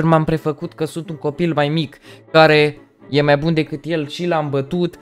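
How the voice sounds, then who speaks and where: Male gaming YouTuber, smooth clear mid-range voice, intro narration over faint music. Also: on the high side, a little mellow. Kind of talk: scripted video intro narration.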